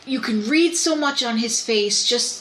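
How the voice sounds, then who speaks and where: A woman's voice: fairly high pitch, measured pace, a bit bright. A woman co-hosting a movie review podcast, retelling scenes in detail while losing her voice. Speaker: podcast co-host. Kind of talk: casual movie review chat.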